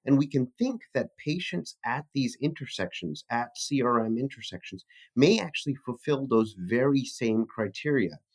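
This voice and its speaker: A man answering as a physician researcher in a formal interview, measured and articulate with academic wording. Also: mid-range voice, lively intonation.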